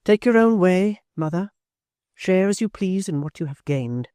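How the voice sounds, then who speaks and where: Man doing a cold proud young woman, low steady voice, mellow tone, dry close sound. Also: a little slow, clear, resonant tone, no echo. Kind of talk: audiobook character voice.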